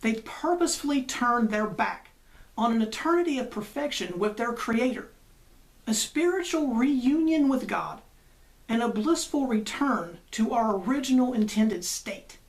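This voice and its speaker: A woman's voice: a little high, expressive, a little slow. Woman doing a faith talk, argues it out like a chat, asks questions then answers them, repeats for emphasis. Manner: rhetorical questions with emphatic repetition. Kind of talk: religious monologue, conversational argument.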